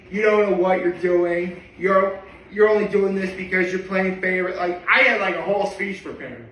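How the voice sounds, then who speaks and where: Chatty bright-voiced tenor man. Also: fairly high pitch, echoey room, recorded from a distance, slight background noise, poor audio. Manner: chatty and rambling, with many asides. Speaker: daily vlogger. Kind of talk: casual reaction vlog monologue.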